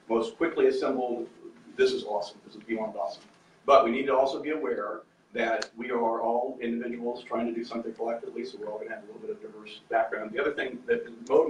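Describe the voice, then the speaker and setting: A man's voice: mid-range voice, slight background noise. Earnest man addressing a meeting room. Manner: earnest, loud, exhorting. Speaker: community meeting attendee. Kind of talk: impromptu speech to a room. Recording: echoey room, mic far off.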